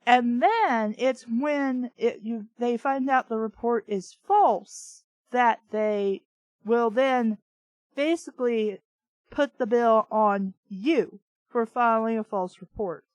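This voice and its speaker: A woman's voice: a little high, takes it slowly, no room sound. A woman reading fiction aloud and breaking in with sarcastic, irreverent, exasperated commentary. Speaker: book review host.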